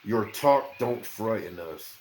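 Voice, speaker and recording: A man's voice: lowish voice, animated, slow, darkish tone. A man voicing a scrawny, defiant old peasant with emphatic rustic speech, in a storybook reading. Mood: bitter, stubborn. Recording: roomy echo, faint noise.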